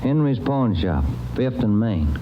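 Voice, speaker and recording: An actor playing an easygoing police detective sidekick in a 1950s radio drama, plain and matter-of-fact. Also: male voice, medium pitch, very animated, a little slow, faint music behind. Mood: alert. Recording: muffled, noisy old radio recording.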